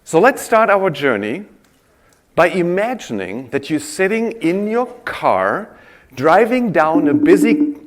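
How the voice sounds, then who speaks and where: Animated man presenting on stage. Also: on the high side, takes it slowly. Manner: animated, audience-engaging storyteller. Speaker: conference speaker. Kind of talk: keynote-style presentation. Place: conference stage before an audience.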